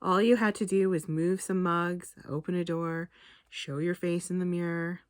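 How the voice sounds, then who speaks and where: A woman author reading her short story aloud in a measured, expressive literary narration. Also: lowish voice, dry recording, clean studio sound.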